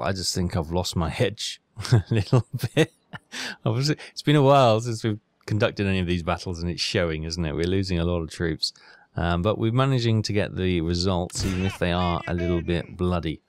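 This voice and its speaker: Low, creaky-voiced gamer. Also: male voice. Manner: thinks aloud in a chatty way. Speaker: gaming video host. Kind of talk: casual gameplay commentary.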